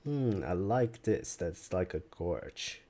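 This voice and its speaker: A man's voice: sits low, expressive, slow pace, dark tone. A young man whose first language is Dutch, chatting casually on a live stream as he builds, musing aloud and answering viewers' questions.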